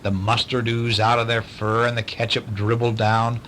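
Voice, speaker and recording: A man's voice: mid-range voice, little pitch movement, moderate pace, a bit bright, slight background noise, rough recording. A man reading a bedtime story aloud to children, with chatty asides as the storyteller.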